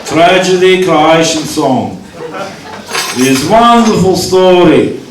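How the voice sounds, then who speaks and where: A man's voice: sits high, animated, slow, background noise. Man putting on a heavy Slavic-accented storyteller. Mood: mournful dramatic. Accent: Slavic, put on. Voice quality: broken English, dramatic storyteller lilt. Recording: echoey room, distant mic, audience.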